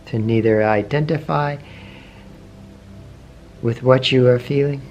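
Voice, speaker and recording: A man leading a guided meditation, asking slow, gentle questions with long pauses between phrases. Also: mid-range voice, lo-fi sound. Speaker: meditation teacher. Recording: faint background noise with meditation music.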